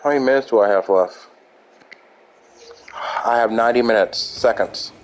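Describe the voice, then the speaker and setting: A man's voice: mid-range voice, normal speed, slight background noise. A man chatting casually as he streams a video game. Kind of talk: casual livestream chat.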